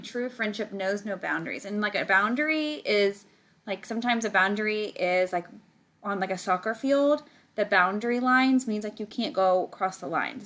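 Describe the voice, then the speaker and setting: A woman reading a picture book aloud to children like a teacher, stretching words and adding casual explaining asides to her young listeners. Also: mid-range voice. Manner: animated storytelling, stretches words, chats directly to young listeners.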